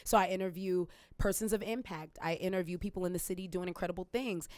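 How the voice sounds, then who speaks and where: A woman guest in a casual interview, talking in an animated, emphatic way about her business and laughing often. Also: on the low side, fast talker, no echo. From Jamaican family. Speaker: guest entrepreneur.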